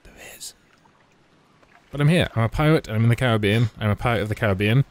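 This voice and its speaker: A man's voice: lowish voice, quick pace. A man giving wry, mocking commentary while playing a video game. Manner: wry, mocking, chatty asides. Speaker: let's play host. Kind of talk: sarcastic gameplay commentary. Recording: game music under voice. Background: game music.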